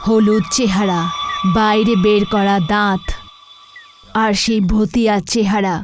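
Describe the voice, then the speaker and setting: A woman's voice: medium pitch, flat delivery, slow pace, background noise. A woman narrating a scripted horror story.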